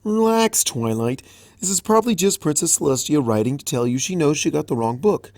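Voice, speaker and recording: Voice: medium pitch, expressive, brisk, darkish tone, no echo, studio quality, voice pushed up, natural voice. A reader voicing a young, panicky baby dragon boy in a storybook reading, frantic and whiny. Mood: sheepish. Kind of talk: audiobook fanfic reading.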